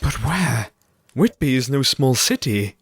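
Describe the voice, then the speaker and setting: A man acting in an audio drama as a gentle, troubled Victorian doctor with courteous, formal speech. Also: mid-range voice, expressive, a little slow, slightly rough, no room sound, clean studio sound. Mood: curious, hesitant.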